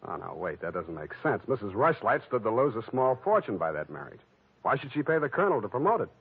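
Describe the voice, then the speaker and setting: An actor playing a wry, deadpan, hard-boiled private detective in a 1940s radio drama. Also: male voice, medium pitch, fast talker, husky, no echo. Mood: probing, deadpan. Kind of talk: 1940s radio acting with narration. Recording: tinny old radio recording, phone-like. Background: music.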